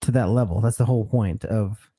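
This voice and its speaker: A man's voice: fairly low pitch, a little fast, mellow, slightly rough. A man hosting a casual podcast, rambling through crude banter and stories. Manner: loose, rambling storytelling. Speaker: podcast host.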